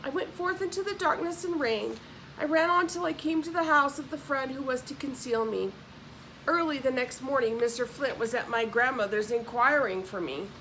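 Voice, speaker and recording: High-pitched woman reading a book aloud. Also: moderate pace, a bit bright, a little hiss or hum, rough recording. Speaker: audiobook narrator. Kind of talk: expressive book reading with casual explanatory asides.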